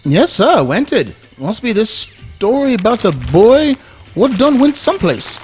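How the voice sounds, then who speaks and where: A man playing a self-important, muddle-headed owl with a husky voice in a comic radio play. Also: extremely high pitch, big pitch swings, a little slow, soft background music, full character voice. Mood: pedantic.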